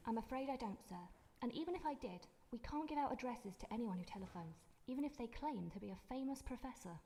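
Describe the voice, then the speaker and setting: Woman playing a high-voiced polite hospice receptionist. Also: animated, fast talker, warm tone. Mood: prim, firm. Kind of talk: live radio drama acting. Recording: reverberant hall, live stage performance.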